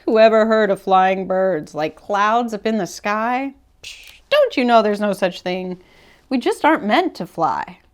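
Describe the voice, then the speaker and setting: A woman's voice: mid-range voice, animated, a little slow, lightly acted. Teasing, scornful storybook bird played by a woman. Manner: teasing, jeering. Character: mocking flock of birds, scornful and gleeful. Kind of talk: children's storybook character voice.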